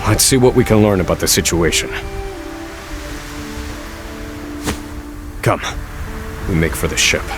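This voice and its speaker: An actor playing a grim, brilliant scholar in a fantasy video game, speaking in a measured, formal and erudite way. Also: male voice, deep voice, lively intonation, music in the background. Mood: grave, resolute. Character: grim, brilliant adult male scholar escaping prison. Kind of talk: dramatic video game voice acting.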